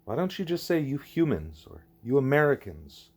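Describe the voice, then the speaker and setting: A man reads the part of a curious young girl in an audiobook. The delivery is candid and questioning, at a middle pitch for a male reader, with lively movement. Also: normal speed. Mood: puzzled. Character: curious, frank young girl pupil named Julie.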